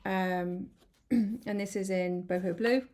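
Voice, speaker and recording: A woman chatting through a card-making tutorial, a little croaky from hay fever, rambling and apologetic with many ums. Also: mid-range voice, fairly even intonation, takes it slowly, darkish tone. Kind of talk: casual craft tutorial. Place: home craft room.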